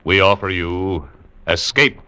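A man's voice: low-pitched, slow, dry recording. A man announcing a 1940s radio drama series in a dramatic, scripted style. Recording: vintage 1940s radio recording. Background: loud orchestral music.

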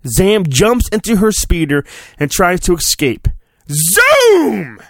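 Playful man with a lively, higher-pitched voice. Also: slow, dry recording, studio quality. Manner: playful, mock-earnest, acts out sound effects. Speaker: podcast host reading a children's storybook. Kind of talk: comic dramatic storybook reading with chatty asides.

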